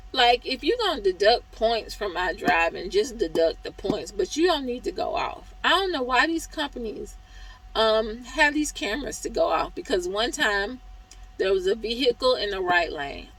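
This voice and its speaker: A woman vlogging as a truck driver, hoarse from talking, venting in a chatty, exasperated way about her job. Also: medium pitch, fairly bright tone, low-quality recording. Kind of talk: casual vlog review.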